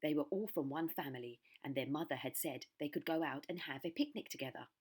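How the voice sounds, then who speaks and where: A woman reads a children's story aloud in scripted narration. Her voice sits low for a woman. It has a husky edge. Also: brisk, darkish tone. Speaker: primary school story reader.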